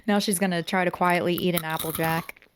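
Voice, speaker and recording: A woman's voice: lowish voice, steady tone, brisk, a little hiss or hum. A woman in her thirties co-hosting a casual podcast, chatty and frank, her voice a little congested from a sinus infection. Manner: chatty, laughs often, frank. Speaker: podcast co-host. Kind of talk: casual chat. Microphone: studio podcast mic.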